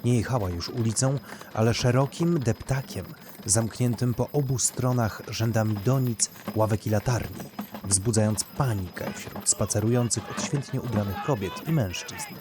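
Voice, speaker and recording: A man's voice: on the low side, normal speed, noisy background. A man narrating literary fiction as a scripted audio story.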